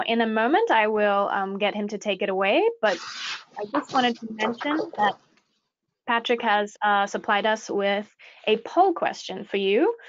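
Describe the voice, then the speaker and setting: A woman's voice: mid-range voice, fairly bright tone, no echo. A woman hosting a webinar, introducing the speaker politely with frequent ums. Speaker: webinar host. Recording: dry, dull lo-fi webinar audio.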